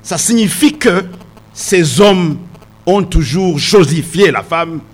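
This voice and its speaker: A man speaking on a panel in a deliberate, formal way, with pauses. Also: a little high, husky. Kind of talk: public debate remarks.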